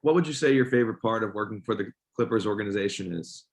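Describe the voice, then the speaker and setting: A man's voice: fairly low pitch, quick pace. Male sports announcer doing an interview. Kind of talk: friendly interview.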